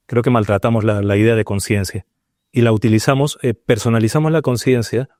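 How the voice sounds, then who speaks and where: Man from Peru, studio panel guest, mellow dark tone, dry close sound, thinks aloud and hesitates a lot. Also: mid-range voice, dry recording. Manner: searching, hesitant, full of restarts and pauses. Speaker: panel guest, paranormal researcher. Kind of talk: reflective round-table discussion.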